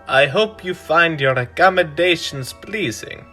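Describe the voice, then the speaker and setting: A man acts a courtly, sinister vampire lord for a radio play. His delivery is slow and deliberate, with formal, theatrical phrasing. The tone is bright and forceful. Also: on the high side, expressive, faint music behind. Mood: silky, sinister. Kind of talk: radio drama acting.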